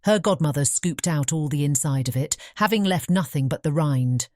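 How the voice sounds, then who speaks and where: Low, mellow British woman storyteller. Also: normal speed, no room sound, studio quality. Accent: British English. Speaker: audiobook narrator. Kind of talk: scripted fairy tale narration.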